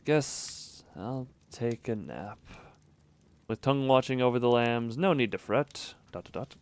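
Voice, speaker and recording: A man's voice: medium pitch, expressive, a little slow, a little mellow. A man streaming a visual novel, reading the narration aloud and breaking off for casual asides and small laughs. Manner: reads narration evenly, breaks off for chuckling asides.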